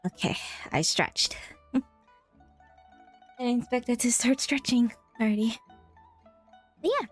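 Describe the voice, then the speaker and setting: A woman's voice: a little high, unhurried, soft background music. A woman game streamer chatting casually with the viewers of a livestream.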